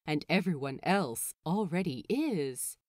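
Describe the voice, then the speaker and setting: A woman's voice: low voice, expressive, takes it slowly, a little mellow, dry recording, clean studio sound. A woman narrating fan fiction expressively, with wry, playful and dramatic phrasing. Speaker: audiobook narrator.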